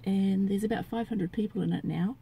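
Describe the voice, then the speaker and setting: A woman's voice: medium pitch, brisk, gravelly, dark tone, husky. A woman chatting casually and matter-of-factly in an outdoor vlog. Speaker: vlogger. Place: outdoors by a suburban road beside a car. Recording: outdoor roadside noise, passing vehicles.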